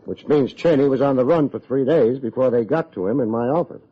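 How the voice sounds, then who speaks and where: An American actor playing a wry, self-deprecating private investigator in an old-time radio drama, with a deadpan, wisecracking delivery. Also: male voice, medium pitch, fairly quick, breathy edge, natural voice. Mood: dry, probing. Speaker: lead actor, narrator. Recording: muffled old radio recording.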